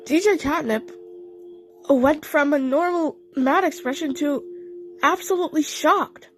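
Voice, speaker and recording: A woman's voice: sits high, measured pace, soft background music. A woman narrating a story dramatically in the first person, as in an audio play. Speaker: narrator and voice actor.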